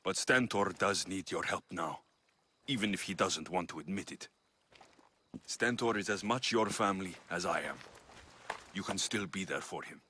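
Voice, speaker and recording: An actor playing a determined, wry young Spartan warrior in a video game, speaking in a terse, dry way. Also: male voice, deep voice, normal speed, long pauses, bright, forceful tone, slight background noise. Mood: dry, reproachful. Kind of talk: video game dialogue acting.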